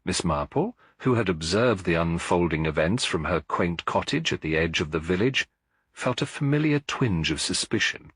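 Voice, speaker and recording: A man narrating a scripted mystery story in a measured, literary storytelling manner. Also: low-pitched, lively intonation, fairly bright tone, breathy edge, no echo, studio quality. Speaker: audiobook narrator.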